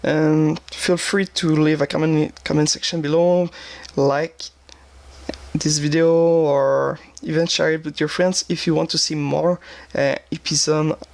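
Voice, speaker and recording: Young male gaming host, Quebec French accent, slightly high voice with a gravelly edge, halting delivery. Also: little pitch movement, darkish tone. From Quebec, Canada. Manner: halting, many fillers and restarts, searching for words. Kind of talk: game teambuilding commentary.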